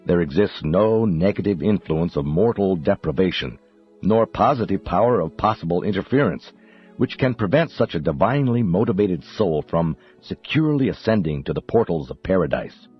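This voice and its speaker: A man reading a spiritual text as a professional audiobook narrator, in a solemn, measured, declamatory manner. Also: sits low, expressive, husky. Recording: dull muffled audio under music. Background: background music.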